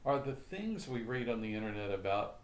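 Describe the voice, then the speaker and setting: A man's voice: mid-range voice, very animated, fairly quick. Off-camera male interviewer, inquisitive, probing follow-up questions. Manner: curious, probing, sums up answers.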